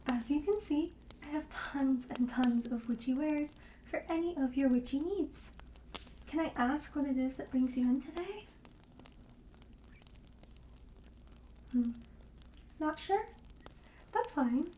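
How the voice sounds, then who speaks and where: A woman playing a friendly, soothing witch shopkeeper in an ASMR roleplay, speaking gently and hesitantly and drifting into whispers. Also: high-pitched, moderate pace, reverberant, slight background noise, lightly acted, low-quality recording. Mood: soothing, welcoming. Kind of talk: soft-spoken ASMR roleplay.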